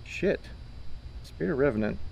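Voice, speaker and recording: A man's voice: mid-range voice, lively intonation, normal speed, gravelly, a little mellow, a little hiss or hum, poor audio. A man playing a co-op horror game, chatting casually and animatedly, with exclamations and some swearing. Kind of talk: casual gameplay chat. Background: game ambience and noises.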